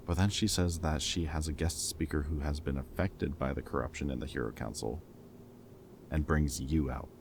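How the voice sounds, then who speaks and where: Ex-villain for roleplay audio drama, male actor: extremely low pitch, casual wry banter, protective friend with a teasing streak. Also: normal speed, darkish tone, a little hiss or hum. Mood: playful, eager. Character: teasing, caring male ex-villain planning with old hero friend.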